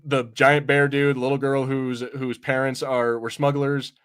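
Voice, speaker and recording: A man's voice: medium pitch, flat delivery, a little slow, fairly bright tone, clear, resonant tone. A man hosting a podcast, chatting casually in a rambling, informal, sometimes sweary way about what he has watched. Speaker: podcast host. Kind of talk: casual review chat.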